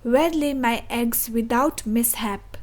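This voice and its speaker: Storybook eagle, female, haughty and grand, played by a woman; higher-pitched, slow, deliberate delivery. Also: darkish tone. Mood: anguished pleading. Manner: haughty, insulting. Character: proud sneering female eagle, bullying, later anguished.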